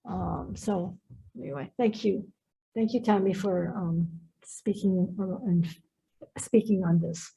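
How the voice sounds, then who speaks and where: A woman chairing a legislative hearing who talks quickly and lets her phrases trail off. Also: lowish voice, gravelly, mellow, slightly rough, poor audio. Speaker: committee chair. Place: hearing room.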